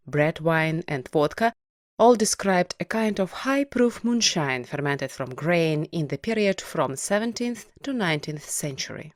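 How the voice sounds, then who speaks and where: Low-voiced, dry-sounding woman podcast host. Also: no echo. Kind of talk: scripted podcast narration.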